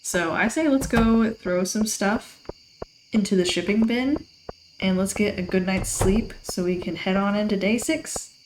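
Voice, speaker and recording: A chatty woman, somewhat hoarse from losing her voice, rambling through casual gameplay commentary with frequent laughs. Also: medium pitch, unhurried, background noise. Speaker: let's play host. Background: game music.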